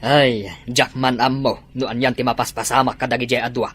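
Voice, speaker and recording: Very low, rough-edged actress as meddlesome gossiping parent in audio comedy drama. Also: female voice, little pitch movement, a little fast. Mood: gossipy. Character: meddlesome, gossiping parent watching over grown children.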